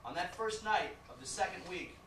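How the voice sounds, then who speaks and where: A man narrates his story in a measured, plain way. His fairly high voice sounds distant and roomy, with noise underneath it. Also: expressive. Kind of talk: narrated stage monologue.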